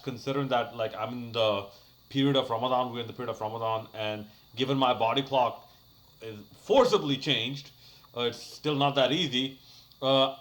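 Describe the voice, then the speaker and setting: A man's voice: mid-range voice, a bit bright. A young man talking casually to his channel, giving a rambling review of a cricket match full of fillers and restarts. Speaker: cricket YouTuber.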